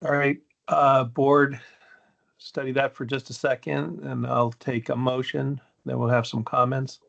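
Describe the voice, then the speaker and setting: A man's voice: medium pitch, level tone, a little slow, creaky, a bit bright. A man chairing a board meeting in a plain-spoken, procedural but informal way, recorded on dull meeting audio. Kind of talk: informal running of a formal meeting.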